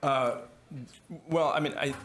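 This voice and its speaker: Guy lawyer talking on a conference panel, mid pitch, lots of ums and uhs and restarts. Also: fairly even intonation, slow pace, fairly bright tone, slight background noise. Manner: hesitant, many ums and restarts, self-deprecating. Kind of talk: panel talk.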